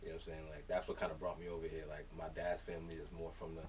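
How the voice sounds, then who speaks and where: A man from Lowell, Massachusetts, a podcast guest talking in casual slang and often checking in with the listener. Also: level tone, racing, darkish tone, recorded from a distance. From born in Liberia, raised in Lowell, Massachusetts. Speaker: podcast guest, music artist. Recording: off-mic with faint room noise.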